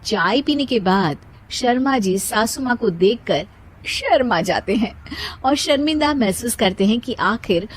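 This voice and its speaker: High-voiced woman narrating a story. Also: animated, unhurried, husky. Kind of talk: scripted children's moral story narration.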